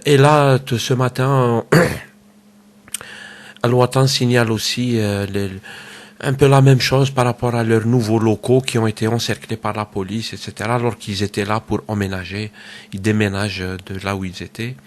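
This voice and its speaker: Guy with a cold rambling about politics, lots of uh and repeats, home mic. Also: fairly low pitch. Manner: hesitant, many fillers and repeated words. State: has a cold. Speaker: political commentator. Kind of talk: casual solo political commentary. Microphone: home microphone.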